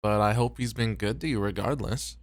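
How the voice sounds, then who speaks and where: A man playing a bashful, modest club host in an ASMR roleplay, speaking in a hesitant, low-key, casual way. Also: moderate pace, dry recording, studio quality, close to an everyday voice. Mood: dry, easygoing.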